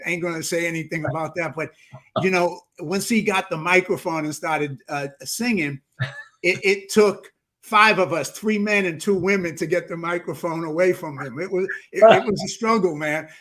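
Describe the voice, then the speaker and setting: A man's voice: fairly high pitch, normal speed, a bit bright. A man hosting a podcast interview, teasing his guest in a playful, chatty way with many restarts. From New York. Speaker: podcast host. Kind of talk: casual interview chat.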